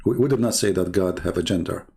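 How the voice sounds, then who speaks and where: Gravelly Arabic-accented man challenging callers. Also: low voice, quick pace, mellow. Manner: challenging, taunting, inviting callers. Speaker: online debate host. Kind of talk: live-streamed religious debate talk.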